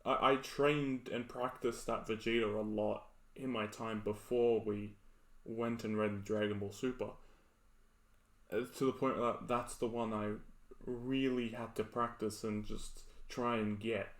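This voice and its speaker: A man with a naturally deep voice co-hosting a podcast, explaining things in relaxed casual chat. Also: measured pace. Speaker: podcast co-host, hobby voice actor. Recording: roomy echo.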